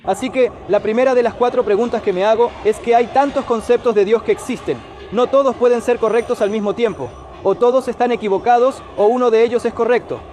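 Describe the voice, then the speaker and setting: A man's voice: very high-pitched, fairly quick, darkish tone. A middle-aged man from India who grew up there, earnestly explaining his organization's work in a casual conversation, sprinkling in Arabic phrases. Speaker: guest speaker, organization director.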